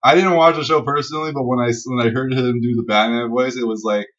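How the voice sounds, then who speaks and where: A man's voice: medium pitch, fairly even intonation, fast, fairly bright tone. A man co-hosting a casual geek-culture podcast, enthusiastic and rambling, swearing freely as he talks. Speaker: podcast co-host. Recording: dull lo-fi audio, distant from mic.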